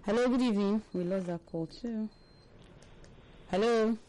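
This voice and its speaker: A woman hosting a live radio phone-in, upbeat and quick to laugh, greeting callers over and over. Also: medium pitch, warm tone, rough recording. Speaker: radio call-in host.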